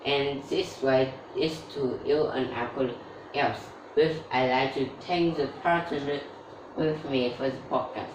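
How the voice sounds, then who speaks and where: A woman talks slowly and steadily through a scripted English-learning tutorial. She sounds set back from the mic in an echoey room, with a faint hum underneath. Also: very deep voice. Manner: steady explanatory delivery. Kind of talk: scripted tutorial with sponsor read. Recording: roomy echo, distant mic, faint background noise.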